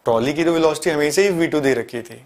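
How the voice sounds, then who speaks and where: A man's voice: a little high, fast talker, a little mellow. A man in his thirties teaching a physics lesson at a board, explaining step by step and asking and answering his own questions. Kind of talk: classroom lecture. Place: studio in front of a large touchscreen board.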